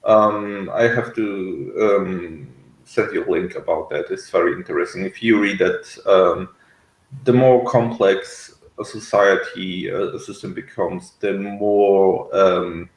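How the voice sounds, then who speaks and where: A man in casual discussion, speaking hesitantly and often pausing to search for words. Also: low-pitched, steady tone, echoey room, poor audio. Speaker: podcast reviewer and discussion panelist.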